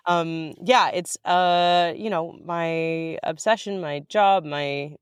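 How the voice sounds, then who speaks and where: A woman's voice: on the low side, takes it slowly, clean tone, dry recording. An American woman chatting casually as an interview guest, enthusiastic and informal, talking in tumbling asides. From United States. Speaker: writer-director guest.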